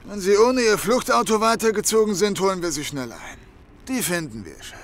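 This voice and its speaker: Actor as an eager higher-voiced male henchman. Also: expressive, fairly quick. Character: eager, loyal henchman of a gang boss. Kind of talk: German radio play acting.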